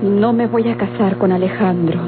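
An actress with a Mexican accent playing a determined, demanding young bride-to-be in a radio drama. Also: female voice, mid-range voice, fairly even intonation, moderate pace, lightly acted. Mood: resolute. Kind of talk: golden-age Mexican radio drama acting. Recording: tinny old radio recording, music behind.

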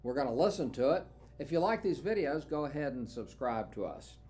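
An older man, a banjo dealer, casually walking viewers through an instrument, unhurried and dotted with "uh" fillers, recorded in a small studio room. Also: medium pitch. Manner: relaxed, unhurried, with frequent "uh" fillers. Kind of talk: casual product walkthrough.

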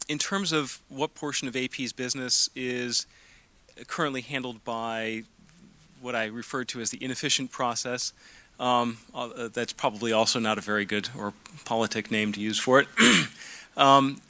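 Middle-aged male lawyer, bright voice, hesitant panel answers full of fillers. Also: mid-range voice. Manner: hesitant, many fillers and restarts. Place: conference room. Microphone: handheld mic.

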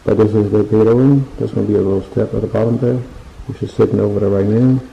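Man, casual DIY vlogger, rambling and halting delivery, gravelly dark voice, phone audio in an echoey empty drywall room. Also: on the low side, fairly even intonation, a little hiss or hum. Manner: rambling, searches for words, lists things as he points. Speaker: home renovation vlogger. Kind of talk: casual walkthrough narration. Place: unfinished basement room with bare drywall, indoors. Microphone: handheld phone camera. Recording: echoey bare room, dull lo-fi sound.

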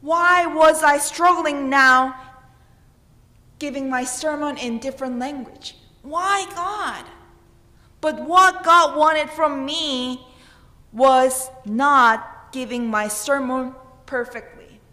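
A woman's voice: high-pitched, takes it slowly, sharp tone. A woman in her thirties with a Korean accent, preaching a sermon at a church pulpit in an earnest manner with emphatic questions. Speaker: pastor. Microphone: pulpit microphone. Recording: roomy church with long reverb.

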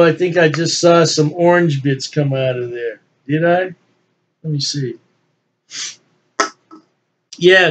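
A man's voice: a little high, husky. An older man chatting casually and folksily as he reviews a beer at his home desk, chuckling to himself now and then. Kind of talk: casual solo video review. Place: home office with beer memorabilia, indoors. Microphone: webcam at desk.

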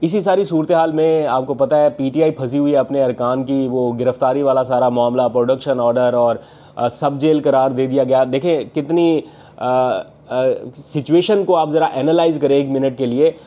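A young man records a political vlog in his living room with a lapel mic. His voice is mellow and relaxed, at a middle pitch. He talks analytically and earnestly, often pausing on "uh" fillers. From Pakistan. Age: young adult. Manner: earnest analytical talk with frequent uh fillers and hand gestures. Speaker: political journalist. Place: home living room, indoors.

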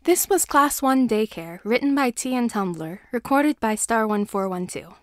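A woman's voice: fairly high pitch, expressive, a little slow, dry recording, clean studio sound. A woman reading a scripted story aloud as narrator.

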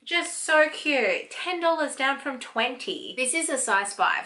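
Bright-voiced Australian woman chatting. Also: medium pitch. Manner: gushing, rambling, self-justifying. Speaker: family vlogger. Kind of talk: casual chatty haul vlog. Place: bright home room indoors.

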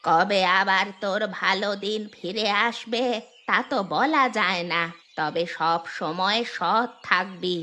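A woman voicing a kindly old village grandmother who gives gentle, moralizing advice in a cartoon. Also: medium pitch, takes it slowly. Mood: consoling. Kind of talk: cartoon voice acting.

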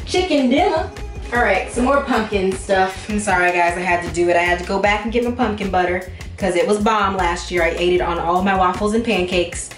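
A woman's voice: mid-range voice, steady tone, brisk, hardly any pauses. A woman in her twenties chatting informally to viewers in slangy, playfully emphatic speech, recorded on a camera a few feet away in a home kitchen. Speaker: vlogger. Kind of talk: casual chat to viewers, grocery haul. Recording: echoey room, faint music behind.

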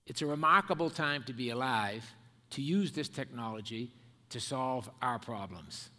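A man's voice: mid-range voice, bright, forceful tone. Older man speaking in a hall. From Ireland and America. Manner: measured, emphatic, builds points in lists. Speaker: keynote speaker. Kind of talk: prepared conference speech. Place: large dark auditorium stage at a podium. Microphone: headset mic. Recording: long hall reverb.

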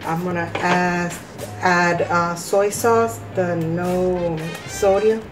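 A woman with a slightly hoarse voice hosting a casual cooking demonstration, talking step by step in a halting way. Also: lowish voice, flat delivery, music in the background. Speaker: cooking vlog host. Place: home kitchen, indoors.

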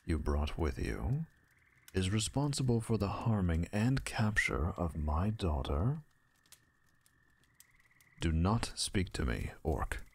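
A haughty owl lord of an audio drama, played by a man. His low voice is slow and full of gravel, and he speaks with formal, imperious disdain. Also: darkish tone, full character voice. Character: stern, haughty male owl lord and cold father.

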